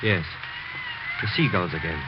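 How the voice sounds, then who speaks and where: Brief: male actor as sober doctor friend, deepish and admonishing, vintage radio play on a noisy old recording. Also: moderate pace, muffled recording, lightly acted. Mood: grave, cautioning. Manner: grave, admonishing. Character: concerned doctor friend, sober, rational, cautioning. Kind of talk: 1940s radio drama acting.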